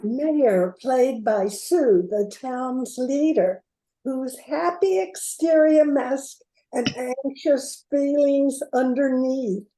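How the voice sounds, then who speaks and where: A woman's voice: sits high, takes it slowly, mellow. An older woman reading a scripted cast introduction in a roomy recording. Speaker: cast announcer. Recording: roomy, echoing amateur recording.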